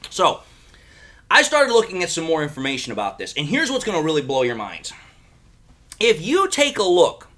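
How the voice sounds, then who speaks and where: A man's voice: fairly high pitch, wildly swooping pitch, normal speed, long pauses, fairly bright tone. A man hosting a sports fan show, speaking in a casual, chatty way straight to his viewers. He is a little under the weather, so his voice sounds slightly crackly. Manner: chatty, repeats himself, addresses viewers directly. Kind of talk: casual sports analysis talk.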